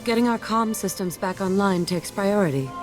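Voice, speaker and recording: A woman's voice: mid-range voice, expressive, moderate pace, natural voice. An actress playing a practical female colonist in a video game, speaking matter-of-factly. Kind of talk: video game character acting. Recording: loud music over dialogue.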